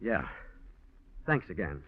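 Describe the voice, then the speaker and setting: An actor playing an anxious, scheming husband, eager to please and easily exasperated, in 1940s radio style. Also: male voice, low voice, big pitch swings, takes it slowly, faint music behind, full character voice. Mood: nervous. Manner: nervous, eager-to-please, clipped replies. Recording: dull, lo-fi vintage radio recording.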